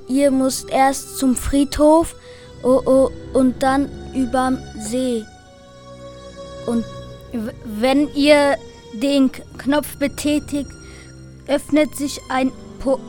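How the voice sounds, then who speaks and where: A woman's voice: high voice, level tone, slow, long pauses, faint music behind. Girl playing a heavily stuttering cursed forest figure. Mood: halting, solemn. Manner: heavy stutter on first syllables. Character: stuttering cursed forest spirit, slow and solemn helper. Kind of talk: amateur school radio play.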